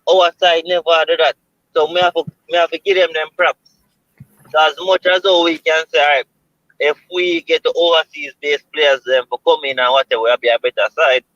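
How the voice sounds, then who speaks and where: A Jamaican man talks football over a call, his voice pitched a little high and bright with some edge. The line is dry and dull, like lo-fi call audio. He speaks in a measured way, stopping and restarting. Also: no echo. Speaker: caller. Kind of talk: casual football talk.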